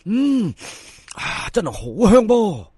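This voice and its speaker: Storyteller's take on a curious young Cantonese fisherman, animated. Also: sits high, takes it slowly, husky, no echo, studio quality, pitched above the performer's own voice, exaggerated voice. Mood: amazed delight. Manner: wondering aloud, colloquial. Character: poor teenage fisherman, curious, excitable and kindly.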